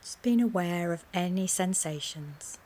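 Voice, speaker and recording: A woman with a slightly low voice guides a mindfulness relaxation session. She speaks gently and soothingly, in short measured phrases with pauses between them. There is clear background noise on the recording. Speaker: wellness coach guiding a relaxation session.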